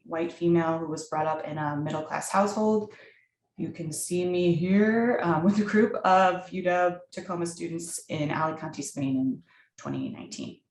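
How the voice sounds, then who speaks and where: A woman opening and hosting an online workshop, speaking deliberately with some fillers over a video call. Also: on the low side, echoey room. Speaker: workshop host.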